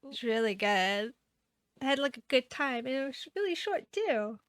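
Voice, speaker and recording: A woman's voice: high-pitched, sing-song, normal speed, clean tone, dry recording, clean studio sound. A woman hosting a gaming video, giving casual playthrough commentary. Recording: loud music behind the voice. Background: game music.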